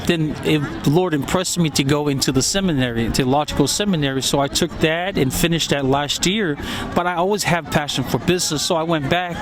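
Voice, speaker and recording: A man's voice: a little high, brisk. A man from Samoa, a university graduate, giving earnest, reflective advice in an outdoor interview. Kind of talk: casual street interview. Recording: noisy outdoor street recording.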